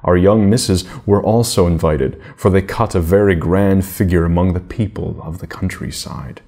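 A middle-aged man narrating a children's fairy tale in a measured, deliberate manner. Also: low voice, a little mellow. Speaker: storybook reader.

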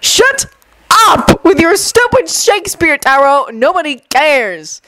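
A woman's voice: high-pitched, lively intonation, a little slow, a bit bright, no room sound, pitched above the performer's own voice. A woman voicing a mischievous teenage boy troublemaker with a cocky, teasing manner. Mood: irritated.

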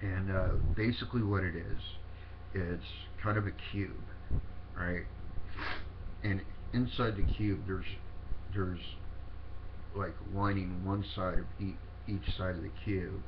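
A deep-voiced man with a sniffly cold talks casually and haltingly, stopping and restarting often. The recording is dull and lo-fi, with a steady hiss behind him. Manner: halting, many fillers and restarts. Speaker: paranormal video host. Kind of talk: casual rambling explanation.

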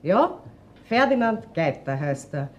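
A woman's voice: lowish voice, big pitch swings, slow pace, dull audio. An actress playing a coquettish, brazen Viennese landlady in a radio crime drama, cheeky and theatrical. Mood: cheeky, defensive. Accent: Viennese Austrian. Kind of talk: German-language radio crime drama acting.

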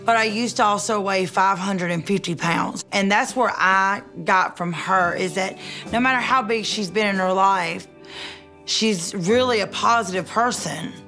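A woman's voice: mid-range voice, a little slow, pushed and bright. A woman, talking casually and bluntly about weight loss in a reality show. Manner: chatty, blunt, filler-heavy. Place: salon and living room interviews. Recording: background music under voice.

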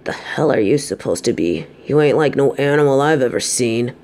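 A man voicing a surly, menacing wolf bandit in an audio drama, gruff and dismissive. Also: mid-range voice, lively intonation, moderate pace, darkish tone, close to an everyday voice. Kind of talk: fan audio drama acting.

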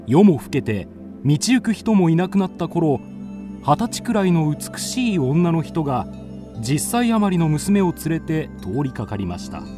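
A man's voice: a little high, big pitch swings, unhurried, music in the background. A man narrating a scripted ghost tale as the storyteller of a radio drama.

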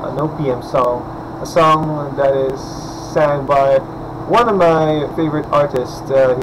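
A young man from the Philippines, hoarse from just waking up, rambling casually to his webcam at home with lots of fillers. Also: mid-range voice, background noise. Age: young adult. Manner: rambling, chatty, many fillers and restarts. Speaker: vlogger. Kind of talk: casual vlog talk to camera. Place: indoors at home, small room. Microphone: webcam at desk distance.

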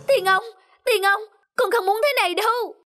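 High-pitched, panicky poor young man. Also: moderate pace, over music. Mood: pleading. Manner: exclamatory, pleading, stammering in alarm. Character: poor young man, whiny, greedy, easily panicked dreamer. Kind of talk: animated fairy-tale dubbing.

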